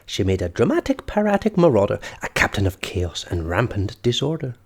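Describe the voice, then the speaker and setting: Very animated, gravelly male storyteller. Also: fairly low pitch, a little fast. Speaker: storyteller and host. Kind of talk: expressive children's story read-aloud.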